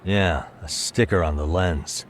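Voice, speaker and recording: A man's voice: low-pitched, a little slow, a little hiss or hum. An actor playing a cool, determined male detective in a video game, talking in a terse, casual, unfazed way. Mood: focused. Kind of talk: English video game voice acting.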